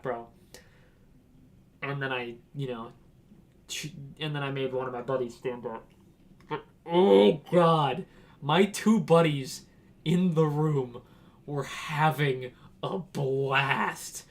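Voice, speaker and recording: Young dude from washington rambling thru an awkward story, laughs a lot, does the voices. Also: male voice, medium pitch. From Washington, United States. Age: young adult. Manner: rambling, laughing, acts out quotes in raised voice. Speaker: vlogger. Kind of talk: casual storytelling.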